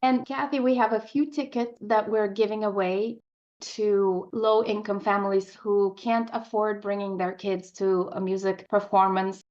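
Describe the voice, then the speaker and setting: A woman's voice: mid-range voice, fairly even intonation, unhurried, a little mellow, dull audio. A woman speaking as an interview guest, an opera composer in conversation on the radio.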